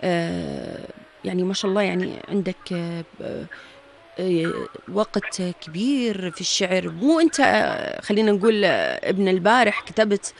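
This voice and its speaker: A woman with a Jordanian accent hosting a radio interview, filling pauses with long drawn-out sounds and regularly affirming her guest. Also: mid-range voice, expressive, creaky, slight background noise. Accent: Jordanian Arabic. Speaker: radio host.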